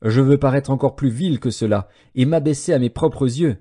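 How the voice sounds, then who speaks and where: A man narrating scripture as an audiobook, reading from a script in a measured, solemn manner with clearly separated phrases. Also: medium pitch, dark tone.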